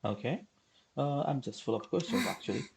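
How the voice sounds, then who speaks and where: A woman casually talking through a video game on a live stream, thinking aloud and filling pauses with short remarks. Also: extremely low pitch, creaky, breathy edge. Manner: relaxed, filler-heavy, thinks aloud. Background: game music.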